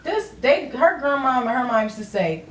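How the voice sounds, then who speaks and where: Philly woman just chatting, high voice, blunt and sweary, roomy sound a bit far from the mic. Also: big pitch swings, normal speed. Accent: Philadelphia. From Philadelphia, US. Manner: blunt, streetwise, slangy, swears freely. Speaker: podcast guest, rapper. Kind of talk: casual chat. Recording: roomy, distant mic, faint noise.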